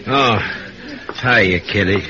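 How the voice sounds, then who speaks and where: Tired grim western marshal, real low voice, terse n dry, dull old radio recording. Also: male voice, slow, background noise. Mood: weary, grim. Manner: terse, dry, world-weary. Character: grim, weary frontier marshal of the Old West. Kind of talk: 1950s Western radio drama acting.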